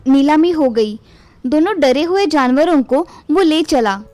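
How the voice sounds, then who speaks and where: A woman's voice: high-pitched, animated, a little slow, a little mellow, no echo, soft background music. A woman narrating a scripted moral story for children.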